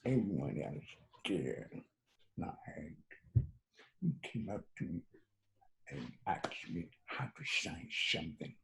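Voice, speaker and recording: A man acting a gentle, reserved bus driver in a screenplay table read, with an understated, halting delivery. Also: low-pitched, sing-song, gravelly, heavily acted, low-quality recording. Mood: quiet, reflective.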